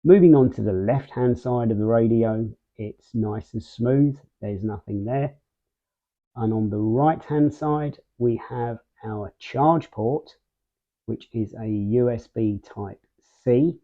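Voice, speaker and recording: Narrow phone-band audio, male reviewer, slow delivery, casual unboxing style, measured short phrases, pauses mid-sentence. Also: mid-range voice. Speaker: video host, product reviewer. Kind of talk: casual unboxing and product walkthrough.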